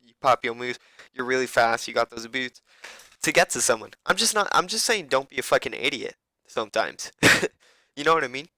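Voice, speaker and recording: Young man, raspy and bright-toned, casual profane game coach, dry close mic. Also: medium pitch, dry recording, poor audio. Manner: loose, profane, rambling.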